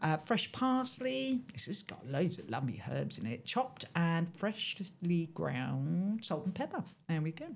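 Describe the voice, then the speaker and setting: A woman's voice: on the low side, sing-song, a little slow, vocal fry, few pauses. A woman radio presenter, chatting playfully in the studio while reading out a recipe. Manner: chatty and playful, laughs often.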